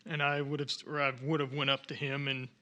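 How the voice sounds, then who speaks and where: A man's voice: mid-range voice, level tone, a little fast, bright, forceful tone. A man playing a tabletop game, casually describing his actions in a hesitant, tentative way. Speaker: player.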